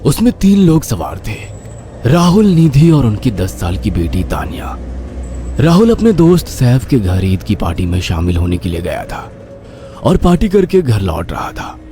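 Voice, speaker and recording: A man's voice: mid-range voice, sing-song, normal speed, mellow. A man narrating a horror cartoon story in a dramatic, theatrical way. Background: background music.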